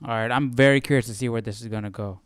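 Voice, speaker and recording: A man's voice: medium pitch, fairly even intonation, very fast, over music. A man hosting a Bible study livestream with casual, conversational commentary, repeating phrases for emphasis.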